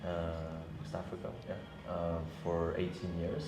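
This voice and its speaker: A young man from Senegal, a guest DJ, talking casually in an interview with many ums and pauses. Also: deep voice, music in the background. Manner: relaxed, hesitant, many ums and uhs. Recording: echoey room with background noise.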